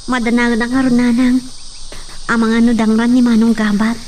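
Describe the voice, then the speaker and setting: A woman's voice: fairly high pitch, level tone, normal speed, darkish tone, recorded from a distance, slight background noise, close to an everyday voice. A woman playing a curious young daughter.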